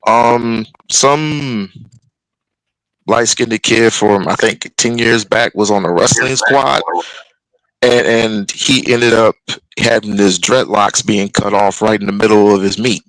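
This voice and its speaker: A man co-hosting a live stream, telling a backstory in a halting, casual way. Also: on the low side, steady tone, a bit bright, dry recording, rough recording.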